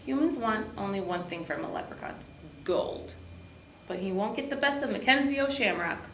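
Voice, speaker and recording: A woman's voice: medium pitch, animated, normal speed, a bit bright, natural voice. Woman playing a grumpy, suspicious leprechaun, roomy echo. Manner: irritable, exclamatory. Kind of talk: children's storybook read-aloud. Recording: echoey room with background noise.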